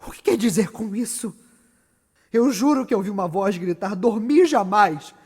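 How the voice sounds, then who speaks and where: A man's voice: sits high, expressive, darkish tone, husky. A Brazilian man playing a tormented, guilt-ridden, ambitious murderer in a solemn, declamatory Shakespearean stage monologue. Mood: anguished, frenzied. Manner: declamatory, solemn, dramatic pauses. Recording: echoey hall with long reverb.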